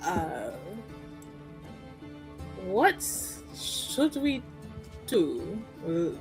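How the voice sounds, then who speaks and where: A woman playing in a tabletop game stream, moving between casual chat and roleplay with expressive, dramatic emphasis. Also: lowish voice, drawn-out words, gravelly. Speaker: player in an actual-play tabletop show. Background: music playing underneath.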